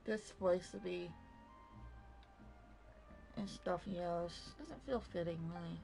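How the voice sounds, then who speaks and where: A woman's voice: fairly low pitch, slow pace, gravelly, a little mellow. A woman giving offhand, casual commentary while playing through a video game. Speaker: gamer commenting on a playthrough. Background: game music.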